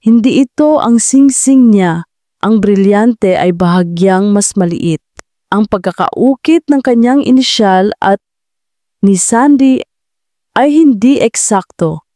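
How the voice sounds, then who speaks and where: A woman storyteller reads a dramatic romance script. Her voice is dark and mellow at a middle pitch. The sound is dry and close, with no room on it. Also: slow, no echo. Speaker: story narrator.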